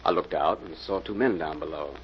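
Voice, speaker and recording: An actor playing an earnest office worker with a plain storytelling manner in 1940s radio mystery style. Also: male voice, low voice, a little fast, natural voice. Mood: earnest, recounting. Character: Bill, earnest male office worker, assault victim. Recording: dull, noisy old-time radio recording.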